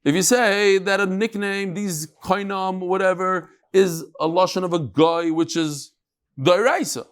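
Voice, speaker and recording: A man's voice: high voice, steady tone, measured pace, smooth. A man giving an informal Talmud class, conversational and emphatic, mixing in Hebrew terms and rhetorical questions.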